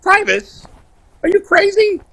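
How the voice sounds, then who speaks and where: A man calling in to a sports talk show, loud, blunt and opinionated, bantering casually over a video call. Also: very high voice, big pitch swings, unhurried, gravelly, poor audio. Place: remote video call while on vacation.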